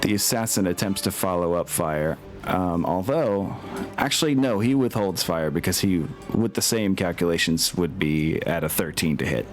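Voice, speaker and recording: A man's voice: low voice, moderate pace. A man hosting a tabletop game as game master, thinking aloud as he casually narrates and works through rules. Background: music.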